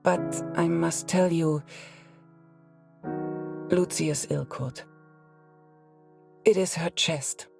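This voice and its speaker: Slow, creaky-voiced worried wife. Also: female voice, fairly low pitch, fairly even intonation, exaggerated voice. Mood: worried, tender. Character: worried, loving wife reading her letter aloud. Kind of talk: slow dramatic letter narration in game. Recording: piano music behind the voice.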